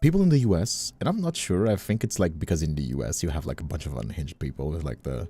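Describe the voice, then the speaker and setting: A man's voice: extremely low pitch, lively intonation, quick pace, mellow, husky. A man from Brazil chatting casually on a livestream, candid and rambling, swearing freely. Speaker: streamer and voice actor. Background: music.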